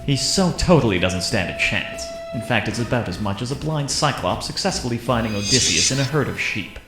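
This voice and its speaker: A man's voice: mid-range voice, lively intonation, fairly quick, music in the background, close to an everyday voice. A man voicing a smug, teasing young prince in a comedic fan dub, talking in a dry, mock-grand way. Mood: commanding.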